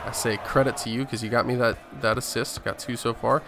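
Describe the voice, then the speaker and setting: A man's voice: medium pitch, little pitch movement, fairly quick. A man giving casual, joking and sometimes profane commentary as host of a gaming video. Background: video game audio.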